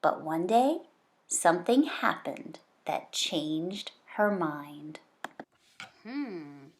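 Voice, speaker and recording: A woman's voice: mid-range voice, a little hiss or hum. A woman hosting a read-aloud, narrating a storybook expressively and talking directly to young children.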